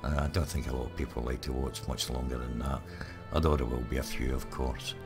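A man talks through his strategy game with a very deep, hoarse, croaky voice. He rambles easily, with lots of ums and uhs. Faint music plays underneath. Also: fairly quick. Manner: relaxed, rambling, many ums. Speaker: gaming channel host. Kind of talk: live let's play commentary.

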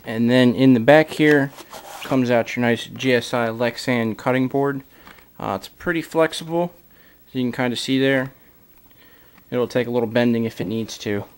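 A man's voice: mid-range voice, steady tone, moderate pace, clean tone. A man giving a casual product walkthrough as a gear reviewer, filler-heavy, recorded indoors on a camera mic. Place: indoors, camera over a carpeted floor.

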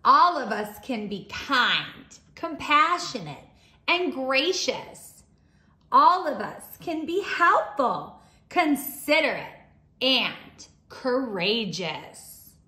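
A woman teaching young children, reading a storybook aloud in an expressive, deliberate way. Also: high-pitched. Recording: roomy, echoing indoor sound.

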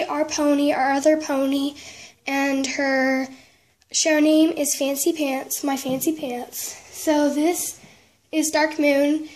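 A woman giving a casual show-and-tell narration in a halting way, often trailing off and correcting herself. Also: high voice, darkish tone. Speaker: vlogger giving a tour of a toy horse barn. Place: indoors at home, room with wooden floor. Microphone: handheld camera, speaker behind it.

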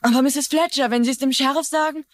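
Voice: high voice, fairly even intonation, fast talker, no echo, natural voice. An actor playing an anxious, polite young schoolboy, hesitant and earnest, in a dubbed TV drama. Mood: anxious, pleading. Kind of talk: German-dubbed TV drama acting.

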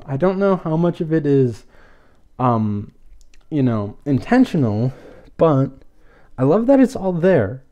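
A man's voice: on the high side, dark tone. A man hosting a podcast, casually reviewing an episode in a rambling, hesitant but enthusiastic way. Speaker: podcast host.

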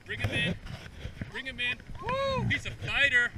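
High-voiced man coaching outdoors, noisy recording. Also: measured pace. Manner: encouraging, excited coaching in short repeated phrases. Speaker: father coaching his son while fishing. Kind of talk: casual outdoor vlog chat. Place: outdoors on a reservoir bank. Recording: outdoors, distant mic, loud background noise.

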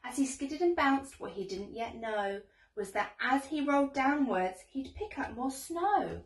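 High-voiced young woman reading, roomy and distant. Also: lively intonation, measured pace. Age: young adult. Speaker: teacher reading a children's story. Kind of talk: read-aloud bedtime storytelling in rhyme. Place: small bedroom, indoors. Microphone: camera a few metres away. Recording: roomy echo, distant microphone.

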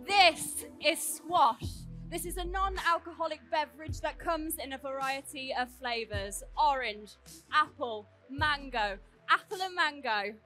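A woman's voice: high voice, measured pace, pushed and bright, faint music behind, low-quality recording. A woman playing an earnest, literal-minded explainer in a comic stage show, speaking emphatically. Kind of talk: scripted comic stage performance.

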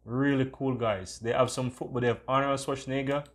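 A man's voice: medium pitch, fairly even intonation, brisk. A man hosting a casual screen-recorded tutorial, talking in an enthusiastic, repetitive way. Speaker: tutorial host.

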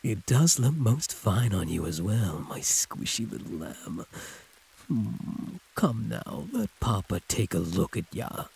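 A hulking male werewolf, played by a man, purrs possessively in an intimate audio roleplay. His voice is extremely husky, with swooping pitch and an unhurried drawl. He teases like a predator toying with prey. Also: big pitch swings, darkish tone, full character voice. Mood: teasing, possessive. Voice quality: husky, breathy. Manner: drawling, teasing pet names, predatory purr. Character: possessive, growling male werewolf prisoner, huge and teasing.

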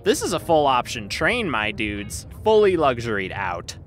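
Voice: medium pitch, sing-song, unhurried, faint music behind. A performer voicing a showy game master who narrates like an announcer. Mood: hyped. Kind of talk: comic dub voice acting.

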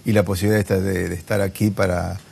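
A businessman guest in a television studio chair, Argentine accent, husky and creaky, thinking aloud and checking that you agree. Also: sits low, little pitch movement, fast, darkish tone. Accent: Argentine Spanish. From Chacabuco, Argentina. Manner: hesitant, reflective, seeks agreement with tag questions. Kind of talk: conversational interview answers. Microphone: lapel.

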